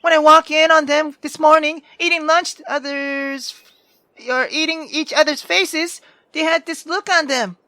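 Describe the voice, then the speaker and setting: A man's voice: very high-pitched, steady tone, measured pace, pushed and bright, dry recording, heavily acted. A man voicing a feisty, indignant young woman from a visual novel, sarcastic and dramatic. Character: feisty, sharp-tongued young woman venting her grievances. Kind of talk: visual novel character reading.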